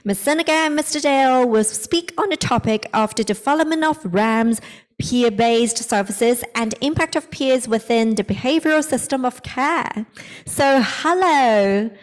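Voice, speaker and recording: A woman moderator introducing speakers in a measured, formal way. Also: high voice, long reverb tail. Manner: measured, slow formal delivery. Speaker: conference moderator.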